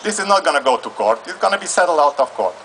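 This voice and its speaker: Hungarian-accented man, bright voice. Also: fairly high pitch, big pitch swings, a little hiss or hum, low-quality recording. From Transylvania, Romania. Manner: long-winded, halting, with many fillers. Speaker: audience member asking a legal question. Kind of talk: live call-in style legal question. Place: live event at a law school.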